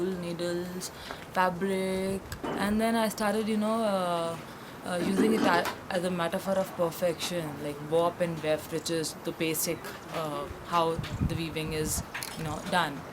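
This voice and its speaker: A woman with a lowish, flat voice talks about her art, often pausing to search for words. Loud outdoor noise runs underneath. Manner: searching for words, self-correcting. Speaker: textile artist.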